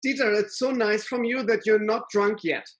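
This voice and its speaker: A man in his forties with a German accent, co-hosting a casual chat and telling stories with lively gestures from his living room over a video call. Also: high voice, normal speed. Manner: animated storytelling with lively hand gestures. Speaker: podcast co-host. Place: living room with bookshelves and a painting.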